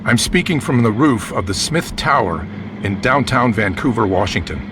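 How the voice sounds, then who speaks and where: A man's voice: mid-range voice, expressive, moderate pace, background noise, close to an everyday voice. An actor playing a male news reporter giving a dramatic eyewitness account in short phrases for a radio drama. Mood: tense, building.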